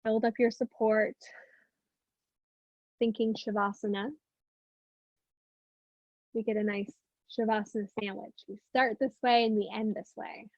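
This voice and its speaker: High-voiced woman guiding a meditation. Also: level tone, dry recording, dull audio. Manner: gentle, unhurried with long pauses. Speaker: meditation and yoga teacher. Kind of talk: guided meditation, soothing instruction.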